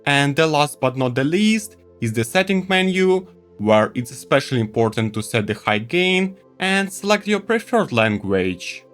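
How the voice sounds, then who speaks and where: Lively man reviewing gear over faint music. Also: fairly high pitch, normal speed. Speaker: product reviewer. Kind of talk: semi-scripted video review narration.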